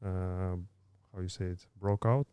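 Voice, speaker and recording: Low mellow-voiced man in his thirties. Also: steady tone, clean tone, no echo. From Kazakhstan. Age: 30s. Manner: halting, many fillers and restarts. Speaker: podcast guest. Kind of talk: casual interview storytelling.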